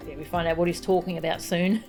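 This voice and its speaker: A woman's voice: fairly low pitch, level tone, fast, music in the background. A woman streaming a video game, chatting casually as she plays. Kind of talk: casual live stream chat and reading game dialogue aloud.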